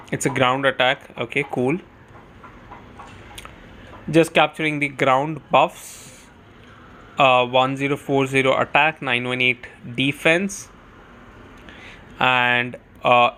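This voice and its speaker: A man's voice: medium pitch, bright, forceful tone. A man giving casual, chatty live commentary on a game as a streamer, thinking aloud as he goes.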